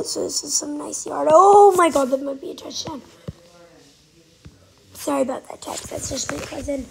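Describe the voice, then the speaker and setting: A woman with a cold giving casual, excitable commentary while playing a game, sometimes dropping to a whisper. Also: high voice, vocal fry, poor audio. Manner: chatty, excitable, trailing off into whispers. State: has a cold. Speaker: gaming channel host. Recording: faint background noise.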